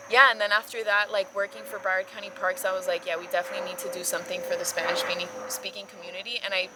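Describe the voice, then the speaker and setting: A woman's voice: medium pitch, background noise. A young adult woman from South Florida, a naturalist guest telling stories in a casual, chatty way with lots of "like.". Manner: chatty, filler-heavy, many "like"s. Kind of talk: casual conversational storytelling.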